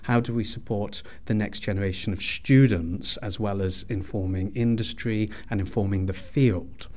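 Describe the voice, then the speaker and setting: Lower-pitched male academic interviewee. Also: steady tone, moderate pace, a little mellow. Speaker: university head of department, interview guest. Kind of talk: explanatory interview answer.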